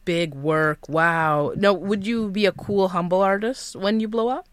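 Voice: mid-range voice, unhurried, a bit bright, clear, resonant tone, no room sound, clean studio sound. An interview host asking questions with hyped, enthusiastic slang exclamations. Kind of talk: casual interview.

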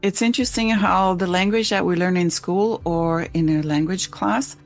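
A woman's voice: fairly low pitch, steady tone, normal speed, faint music behind. A woman who grew up in Switzerland and lives in Canada, hosting a thoughtful, casual conversation.

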